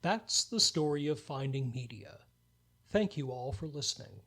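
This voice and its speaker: A man narrating a radio play in a scripted delivery, with a husky voice. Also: medium pitch, lively intonation, normal speed, sharp tone.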